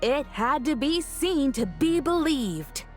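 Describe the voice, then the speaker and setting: A woman voicing a brash, cheeky tabloid street reporter in a video game, with an excitable, theatrical salesy delivery. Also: high voice, slow, soft background music, exaggerated voice. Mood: excited, dramatic. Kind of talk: video game character acting.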